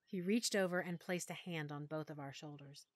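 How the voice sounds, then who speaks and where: Woman narrator, lower voice, dry close sound, quiet emotional storytelling. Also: moderate pace, dry recording. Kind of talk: first-person audiobook narration.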